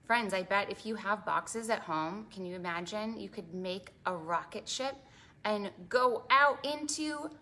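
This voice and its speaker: A woman hosting an interactive storytime for preschoolers, talking in an animated, exaggerated way to small children and asking them lots of questions. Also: medium pitch, measured pace. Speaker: children's librarian and storytime host.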